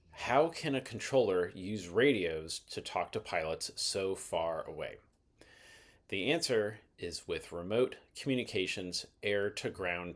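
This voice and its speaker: Low-voiced man narrating an explainer. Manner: measured, methodical, explanatory. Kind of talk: scripted instructional narration.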